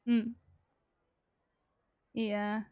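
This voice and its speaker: A woman game streamer giving casual, chatty live commentary with an animated, empathetic manner. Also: fairly high pitch, very slow, clean tone, dry recording, dull audio. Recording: game music behind the voice.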